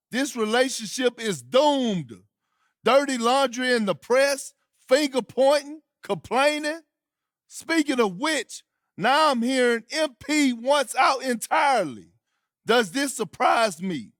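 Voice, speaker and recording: An actor playing a scornful, opinionated sports pundit, giving emphatic and judgmental colloquial commentary in a video game. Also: male voice, very high-pitched, lively intonation, slow pace, fairly bright tone, dry recording, clean studio sound, heavily acted.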